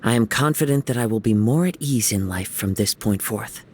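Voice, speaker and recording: A man voicing a gentle, poetic young wandering samurai for a video game, soft-spoken, measured and reflective. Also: lowish voice, a little hiss or hum, close to an everyday voice. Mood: serene, earnest. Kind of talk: video game character voice lines.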